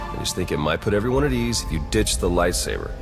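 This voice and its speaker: A man's voice: deep voice, animated, fast talker, creaky. A man playing a wry, pragmatic spy agent in a video game, casual and matter-of-fact. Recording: loud game music underneath. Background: music.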